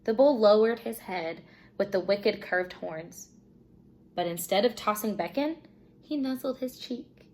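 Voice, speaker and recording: A woman teacher reading a children's storybook aloud in an expressive narrating style. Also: medium pitch, measured pace.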